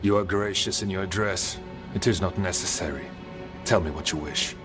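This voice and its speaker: A deep-voiced, guarded dwarf of an underground city, acted by a man, speaks with formal gravity in measured phrases. It is a solemn video game performance with music clearly playing behind it. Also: close to an everyday voice. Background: game soundtrack music.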